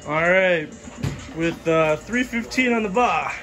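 Slangy guy gym vlogger over loud music. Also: high voice, animated, unhurried. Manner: bro slang, swearing, hyping friends up. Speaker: vlogger filming a workout. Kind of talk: casual gym vlog chat. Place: outdoor yard and makeshift backyard gym. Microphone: handheld camera or phone.

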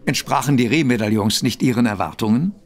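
An actor playing a polite, deferential waiter in a gentlemen's club in a radio play. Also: male voice, medium pitch, fast talker, close to an everyday voice. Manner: deferential, formal.

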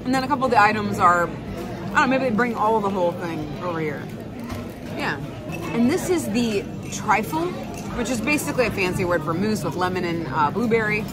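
A woman in her thirties hosting a casual food review vlog, chatty and lively, filmed at arm's length in a busy indoor restaurant. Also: medium pitch. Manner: chatty, lively, quick asides and laughs. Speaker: food vlogger host. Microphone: phone or camera at arm's length. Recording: noisy crowded restaurant. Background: crowd chatter.

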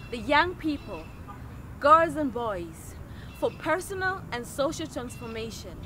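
A young woman with a Tanzanian accent delivers a scripted, spoken-word style personal statement in a deliberate, theatrical way. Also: high-pitched, fairly bright tone, a little hiss or hum, rough recording. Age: young adult. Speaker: non-profit founder and director. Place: outdoors in a leafy park.

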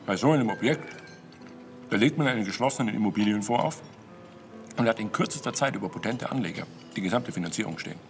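A man playing a smooth, haughty count in a crime drama, persuasive and self-important. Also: lowish voice, fast, soft background music, close to an everyday voice. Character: smooth-talking, haughty male count and property promoter. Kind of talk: German TV crime drama acting.

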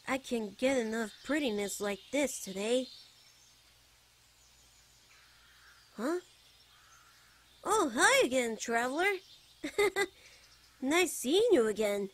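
A woman's voice: high voice, a little hiss or hum, heavily acted. A woman voicing a playful, teasing, fondly protective young bard boy in a giggly ASMR character roleplay. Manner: teasing, giggly, drawn-out phrases. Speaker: voice actor.

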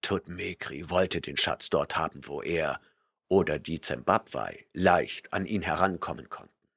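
A man's voice: low-pitched, a little slow, creaky, fairly bright tone, husky, heavily acted. A man voicing a burly barbarian warrior who growls and mutters his questions, impatient and menacing, in an audiobook reading. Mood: gruff.

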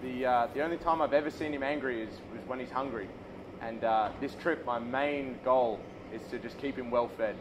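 A man's voice: mid-range voice, moderate pace, dull audio. A young man co-hosting a vlog, talking about his friend in an easygoing, hesitant and teasing way, recorded outdoors. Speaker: vlog co-host. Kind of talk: casual interview talk. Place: outdoors before a red roller door. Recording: noisy background.

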